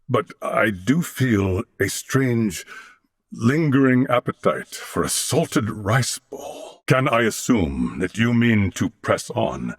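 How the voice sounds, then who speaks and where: A man's voice: fairly low pitch, no room sound, studio quality, heavily acted. A man voicing a noble, solemn alien warrior in a video game, speaking formally and courteously. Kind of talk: video game character voice acting.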